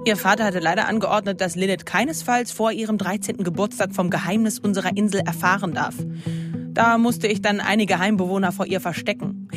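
A woman plays a caring, slightly worried aunt narrating in a radio play. Her voice is medium in pitch, earnest and explanatory. Loud plucked guitar music runs under her. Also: fairly even intonation, a little fast, natural voice. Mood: earnest, concerned. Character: caring, worried aunt running a retirement home.

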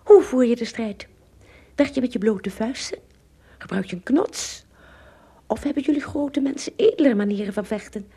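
A woman playing a pompous, excitable tiny king in a radio play, with a raspy, creaky voice and a grandiose manner. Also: a little high, moderate pace, long pauses. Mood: curious.

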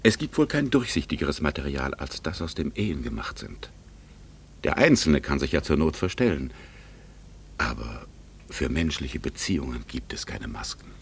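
An actor in a German radio drama playing a worldly, wounded, ironic gentleman with formal speech and a gravelly, husky voice. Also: a bass voice, very animated, moderate pace, a little hiss or hum, exaggerated voice. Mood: ironic, resigned. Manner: ironic, formal, slipping into hushed murmurs.

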